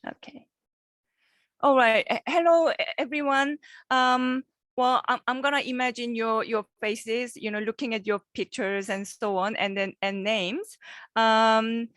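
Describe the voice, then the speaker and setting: A woman professor giving a guest lecture over a video call, halting and repeating words, often checking in with her listeners. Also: a little high, fairly even intonation, fairly bright tone, dry recording, clean studio sound. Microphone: computer microphone on a video call.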